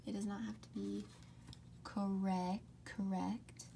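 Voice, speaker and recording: A young woman talks slowly and softly into a close mic, often slipping into a whisper as she leads an ASMR painting tutorial. Her low, mellow tone has a gravelly, fry-laced edge. A faint hiss sits under the voice. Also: flat delivery. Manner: soft, often whispered, unhurried. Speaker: art tutorial host. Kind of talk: soft-spoken ASMR drawing tutorial.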